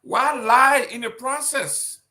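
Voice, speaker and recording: A man's voice: very high-pitched, fairly even intonation, takes it slowly, sharp tone. A man with a Liberian accent, reading political news and then commenting on it with indignant, emphatic rhetorical questions. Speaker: talk show commentator.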